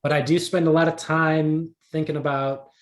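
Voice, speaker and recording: A man's voice: a little high, flat delivery, moderate pace. A man chats as a guest in a casual interview, his voice echoing around the room. He talks in a hesitant, self-deprecating way. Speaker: writer, podcast guest. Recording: roomy, echoing voice.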